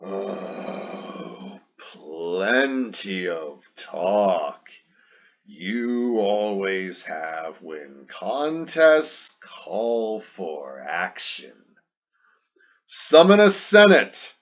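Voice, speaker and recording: Man performing scornful young warrior prince, epic reading. Animated, declamatory, emphatic delivery; dull lo-fi recording. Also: low-pitched, extremely slow, heavily acted. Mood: scornful sarcasm. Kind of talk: dramatic reading of epic verse.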